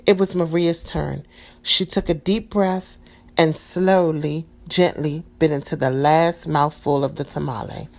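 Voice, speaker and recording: Woman storyteller, low gravelly voice, calm expressive read-aloud for kids. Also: a little slow. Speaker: storybook reader.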